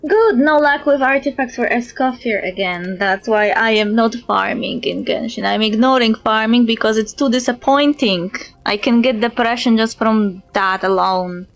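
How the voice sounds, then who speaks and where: Higher-voiced woman game streamer. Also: moderate pace, hardly any pauses. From Europe. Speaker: livestreamer, VTuber. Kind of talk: reading game dialogue aloud and chatting with viewers. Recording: music behind the voice.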